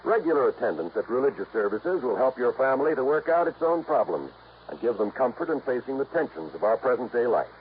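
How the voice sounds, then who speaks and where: An American male radio announcer with a widely varied, animated pitch and a formal, earnest delivery in 1950s style. The recording is thin and phone-like, with slight noise. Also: brisk. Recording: tinny, faintly noisy old radio broadcast.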